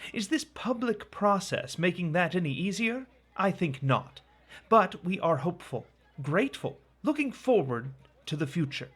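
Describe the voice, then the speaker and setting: An actor playing a defiant, self-important disgraced heir in a radio drama, speaking with pompous theatricality. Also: male voice, sits high, fairly bright tone, husky, full character voice. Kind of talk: scripted radio drama acting.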